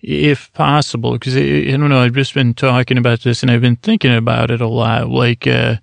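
Sleepy podcast guy rambling on, super gravelly fry, dead dry close sound, keeps trailing off and restarting. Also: medium pitch, flat delivery, dry recording. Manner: meandering, halting, full of fillers and self-corrections; deliberately slow and mild to help listeners sleep. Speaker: podcast host. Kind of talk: rambling bedtime podcast intro.

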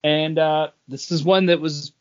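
A man co-hosting a podcast, chatting casually with friends in a halting way. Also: a little high, fairly even intonation, clean tone. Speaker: podcast co-host. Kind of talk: casual chat among friends. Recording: dull lo-fi voice recording.

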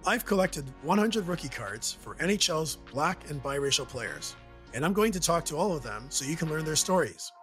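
A Canadian man hosting and narrating a documentary in a measured, earnest way. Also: fairly high pitch, sing-song, over music. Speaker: host and narrator. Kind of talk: scripted narration.

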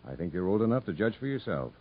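An actor playing a grave but kindly prison captain, measured and straightforward, in a 1950s radio drama. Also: male voice, low voice, very animated, slightly rough, full character voice. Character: grave, frank prison captain recalling a story, kindly toward children. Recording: muffled 1950s radio recording.

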